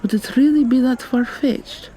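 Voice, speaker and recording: A woman playing an articulate, probing young female vampire student in an ASMR roleplay, soft-spoken and questioning, with noise in the recording. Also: sits high, normal speed, natural voice. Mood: probing, earnest. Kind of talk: ASMR roleplay, intimate conversational acting.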